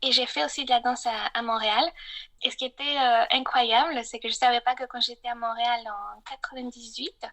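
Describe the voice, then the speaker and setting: High-voiced woman. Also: steady tone, brisk, fairly bright tone. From Mexico, grew up in Mexico City. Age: middle-aged. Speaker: podcast guest, architect. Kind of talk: conversational life story in an interview.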